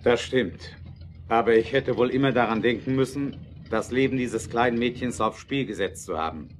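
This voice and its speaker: An actor playing a principled, earnest German motorcar inventor in an audio drama, speaking in a measured way. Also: male voice, medium pitch, a little hiss or hum, natural voice. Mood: regretful.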